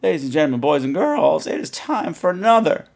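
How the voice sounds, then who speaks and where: A male podcast host rambles in an excitable, theatrical way. His voice is fairly high and swings widely, and he keeps tumbling over his own words. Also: fairly quick, creaky. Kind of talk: casual podcast outro.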